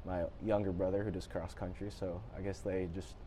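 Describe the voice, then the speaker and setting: A man's voice: low voice, flat delivery, brisk, dark tone, a little hiss or hum. A teenage boy born in Japan, answering interview questions politely and often saying "yes, sir". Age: teens. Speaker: student athlete guest.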